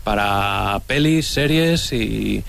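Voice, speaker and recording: A man's voice: on the low side, lively intonation, drawn-out words, vocal fry, a bit bright, a little hiss or hum. A man from Bilbao, Spain, chatting easily as an audience contestant on a radio quiz. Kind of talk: casual chat. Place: radio studio.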